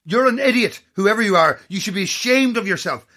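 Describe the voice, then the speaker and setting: A man's voice: sits high, lively intonation, fairly quick, sharp tone. An actor playing a brusque, sceptical male radio host in an audio horror drama. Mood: indignant. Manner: brusque, exasperated, sardonic.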